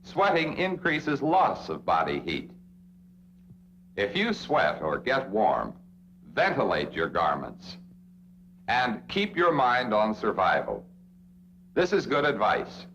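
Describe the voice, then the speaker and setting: Dull old-film recording, animated American male narrator. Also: mid-range voice, slow pace, pauses often. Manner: direct address to the listener, rhetorical questions, emphatic. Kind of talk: 1960s instructional film narration. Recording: dull, noisy old film soundtrack with hum.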